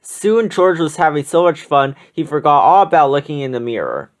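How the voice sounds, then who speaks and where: A storyteller reading a children's picture book aloud in an expressive way. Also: mid-range voice, normal speed. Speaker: storybook reader.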